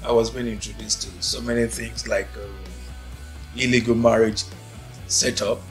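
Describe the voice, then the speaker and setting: Nigerian man telling his story, slow. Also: medium pitch, level tone. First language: Yoruba. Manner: unhurried, thoughtful, many "uh" pauses. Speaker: interviewee. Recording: faint music behind.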